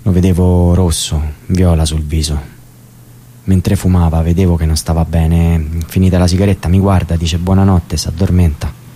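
A man playing a low-voiced prisoner remembering. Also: little pitch movement, mellow, natural voice. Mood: recalling. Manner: plain, halting spoken recollection. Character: male prisoner, cellmate recalling a night. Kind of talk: theatrical radio drama monologue. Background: music.

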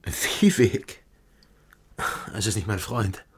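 An actor playing a weary, guarded, hard-drinking private detective in a radio crime drama, terse and subdued. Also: male voice, on the low side, very animated, slow, husky, full character voice.

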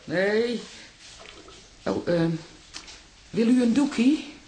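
A woman playing a chatty, excitable older toilet attendant in a radio play, flustered and stammering. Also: a little high, very slow, slight background noise. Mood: confiding, gossipy.

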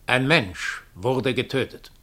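An actor playing an implacable murderous doppelganger in a radio play, speaking in a solemn, formal, declamatory manner. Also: male voice, medium pitch, takes it slowly, a bit bright. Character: implacable murderer doppelganger, sinister messenger of a high court. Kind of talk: German radio play acting.

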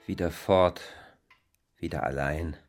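An actor playing a lonely, resigned lover in a German radio play, melancholy and declamatory. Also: male voice, extremely low pitch, slow, soft background music, heavily acted. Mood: lonely, brooding. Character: forlorn, brooding, resigned lonely husband or lover.

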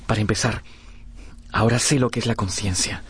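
An actor playing a vain, impassioned young gentleman in a radio drama, speaking in an earnest, declamatory manner. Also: on the low side, steady tone, fairly quick, husky, no echo. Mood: resolute, elated. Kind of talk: Spanish-language radio drama acting.

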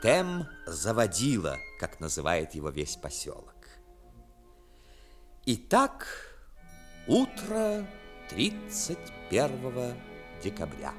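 A man narrating a story in a measured, expressive, dramatic style, as narrator of a 1960s radio play. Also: fairly low pitch, heavily acted. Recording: 1960s radio recording with music.

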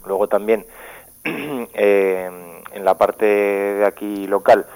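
A man from Spain, calling into a radio show by phone, talking haltingly with many fillers and restarts. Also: lowish voice, steady tone, a little mellow, clean tone. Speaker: caller from a local radio. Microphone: telephone. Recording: phone line.

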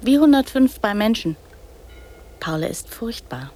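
An actress playing an imaginative, bossy little girl in a radio drama, often dropping into secretive whispers and then insisting loudly. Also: a little high, big pitch swings, unhurried, a little hiss or hum. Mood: bossy, excited. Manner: hushed, secretive whispering broken by sudden loud insistence.

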